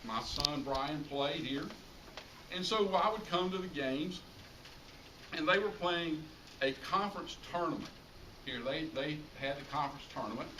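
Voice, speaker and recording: A middle-aged American man delivers an informal tribute speech in a voice pitched a little high. He leans on key phrases and repeats them for emphasis. The sound is strongly echoey and picked up at some distance, with clear background noise. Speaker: high school public address announcer giving a tribute speech. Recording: roomy, distant mic, clearly noisy ambience.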